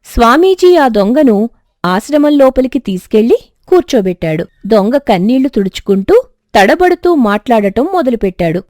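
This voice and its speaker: A woman narrating a scripted moral tale in an expressive storytelling manner. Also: fairly high pitch, moderate pace, no room sound, studio quality.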